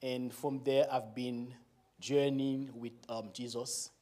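Man, 40s, Haitian accent, Creole native; unhurried guest pastor, easy laugh. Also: medium pitch, little pitch movement. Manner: slow, warm and laughing, with frequent pauses. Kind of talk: church talk.

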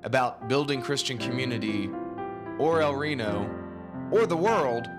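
A man's voice: mid-range voice, expressive, measured pace. Man announcing church podcast over guitar. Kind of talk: scripted podcast intro. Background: guitar music.

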